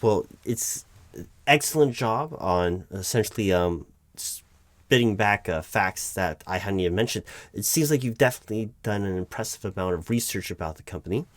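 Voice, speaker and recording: A man playing a flustered, deferential recruiting manager in an interview roleplay, halting, stammering and awkwardly polite as he talks. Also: fairly low pitch, lively intonation, close to an everyday voice. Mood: awkwardly formal. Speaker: roleplay performer. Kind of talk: spoken audio roleplay, one-sided job interview.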